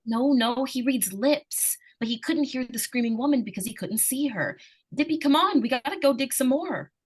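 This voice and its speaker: Woman playing a plucky high-voiced little girl. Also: lively intonation, normal speed, darkish tone, slightly rough. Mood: insistent. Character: plucky, anxious, insistent little girl narrating her adventure. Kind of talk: old time radio suspense acting.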